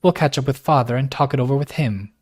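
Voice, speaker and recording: A man voicing a frank, impulsive young woman from a wealthy family, speaking high and fast. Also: darkish tone, lightly acted. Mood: cross. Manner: high, passionate, rushed.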